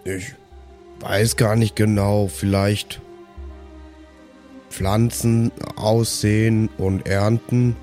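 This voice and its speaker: Male tabletop player, low voice, improvising casual in-character talk with music underneath. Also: flat delivery, drawn-out words, long pauses, darkish tone. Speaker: tabletop role-play player.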